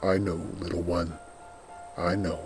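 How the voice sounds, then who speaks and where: Man playing a low, solemn undead servant. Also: flat delivery, faint music behind, rough recording. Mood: tender, weary. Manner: solemn, earnest, measured. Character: loyal undead skeletal male servant, grave, protective, tender.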